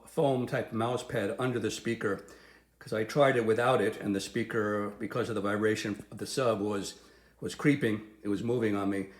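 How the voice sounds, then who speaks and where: A man giving a casual, unscripted product review as he demonstrates gear, halting and restarting his phrases. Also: on the low side. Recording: roomy, echoing sound.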